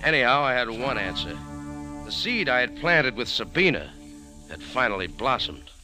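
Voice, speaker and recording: An actor playing a cool, wry American café owner and sleuth, laconic and deadpan in 1940s radio drama style. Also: male voice, mid-range voice, normal speed, close to an everyday voice, low-quality recording. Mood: cool, narrating. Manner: laconic, hard-boiled, deadpan. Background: music.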